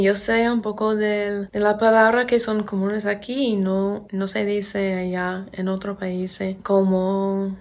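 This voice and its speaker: A young American woman with an American accent, speaking as a second-language learner in a casual vlog, halting and searching for words. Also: mid-range voice, gravelly. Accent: American English accent in Spanish. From Pennsylvania, USA. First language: English. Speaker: vlogger and English teacher. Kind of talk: casual chat to camera.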